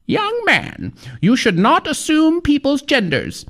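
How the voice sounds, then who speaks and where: A man's voice: very high-pitched, wildly swooping pitch, slow pace, fairly bright tone, pitched above the performer's own voice, heavily acted. A man voicing an indignant elderly woman who lectures in a haughty, scolding way.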